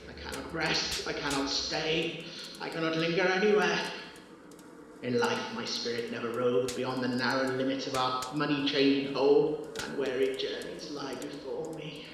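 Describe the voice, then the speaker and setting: A man playing a tormented, mournful ghost of a dead businessman in an audio drama, declaiming gravely and theatrically through ghostly reverb. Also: medium pitch, fairly even intonation, moderate pace. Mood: weary torment. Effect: heavy ghostly reverb. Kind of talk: audio drama acting of Victorian literary dialogue. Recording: cavernous long reverb, distant, loud noise.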